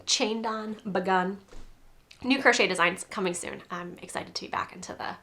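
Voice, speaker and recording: Chatty congested woman, bright voice. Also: mid-range voice, moderate pace. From New England. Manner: chatty, rambling, laughs often. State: congested from a cold. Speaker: crochet designer and podcast host. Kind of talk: casual chat to camera.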